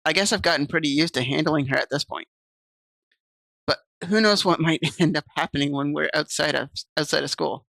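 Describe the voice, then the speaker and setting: A woman's voice: low voice, fairly quick, vocal fry, a bit bright, no room sound. A woman streaming, reading visual novel text aloud and chatting casually with viewers on a desk mic. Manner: chatty, reads game text aloud and answers chat.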